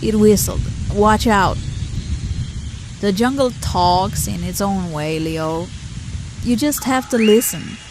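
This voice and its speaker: Slow-talking, sensible zebra friend voiced by a woman. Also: mid-range voice, noisy background, close to an everyday voice. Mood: gently chiding. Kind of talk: children's animal storybook.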